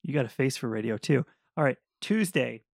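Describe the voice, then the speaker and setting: A man's voice: mid-range voice, lively intonation, a little fast, vocal fry, no room sound, clean studio sound. A man co-hosting a casual podcast, joking and rambling wryly about TV shows. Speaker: podcast co-host. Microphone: studio podcast mic.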